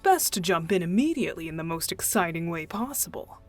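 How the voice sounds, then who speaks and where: A woman voicing a playful, mischievous young male deacon in an unhurried, teasing ASMR roleplay style. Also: on the low side, animated, soft background music. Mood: playful, confiding. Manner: teasing, unhurried, chuckling, breathy asides.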